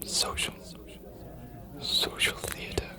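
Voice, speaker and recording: A man playing an aloof, bookish, centuries-old vampire scholar in an ASMR roleplay, whispering in a deliberate, formal, slightly hesitant way. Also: natural voice. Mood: reserved, musing.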